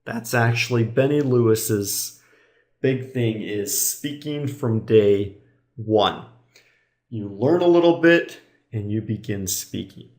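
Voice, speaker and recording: A man teaching English online, speaking slowly and deliberately for learners. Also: fairly low pitch, expressive, darkish tone. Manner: slow, deliberate delivery for learners. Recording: echoey room.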